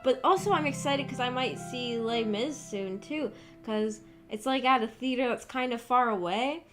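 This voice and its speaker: Brief: young woman streamer, slightly high voice, rough just-woke-up throat, rambling chat, faint music behind. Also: moderate pace. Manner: rambling, chatty, lots of fillers. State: rough, hoarse-sounding voice from just waking up.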